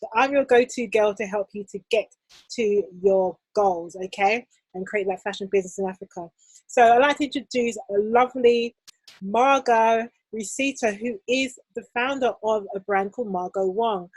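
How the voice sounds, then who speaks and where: A woman's voice: medium pitch, moderate pace, husky, dry recording, rough recording. A woman hosting an online interview as a fashion business coach, talking in an upbeat, enthusiastic way with many fillers.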